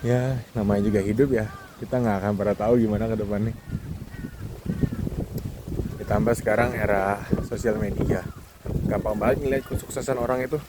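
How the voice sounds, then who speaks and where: A man's voice: lowish voice, fairly even intonation, fast talker. A man of thirty, talking casually and reflectively to himself as a podcast host on a walk outdoors. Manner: informal, reflective, self-talking. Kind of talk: casual walking monologue, vlog. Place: outdoors on a campus football field. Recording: noisy outdoor ambience. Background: clear outdoor background noise.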